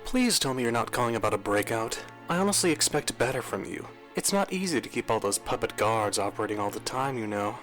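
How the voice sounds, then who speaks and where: Smug male mayor, voiced by an actor for an audio drama; dry sarcasm, expressive delivery, faint music. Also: mid-range voice, brisk, few pauses. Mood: condescending.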